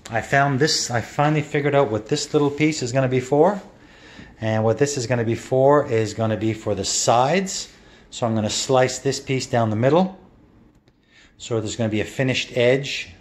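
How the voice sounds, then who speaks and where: A man giving a casual, rambling walkthrough of his kitchen renovation with lots of fillers, recorded on a handheld phone in the kitchen. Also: medium pitch. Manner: rambling, many fillers and restarts. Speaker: home renovation vlogger. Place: kitchen under renovation, indoors. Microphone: handheld phone camera.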